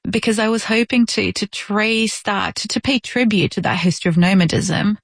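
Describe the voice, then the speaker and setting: Creaky-voiced woman, an explanatory interview guest. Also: medium pitch, moderate pace, no echo, clean studio sound. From Romania. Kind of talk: informative interview talk.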